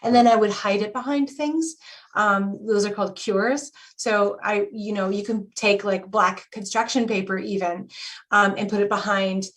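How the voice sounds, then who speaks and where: A woman's voice: mid-range voice, fairly even intonation, normal speed. Woman in her 40s on a zoom call, explains stuff, lots of ums. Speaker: guest tarot reader. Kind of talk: live video call reading. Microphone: video call.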